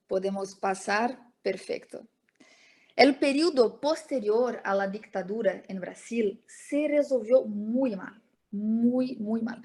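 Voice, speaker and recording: A woman's voice: on the high side, darkish tone. A woman giving an academic talk over a video call, hesitant, with many fillers. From Brazil. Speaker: lecturer. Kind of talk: academic presentation.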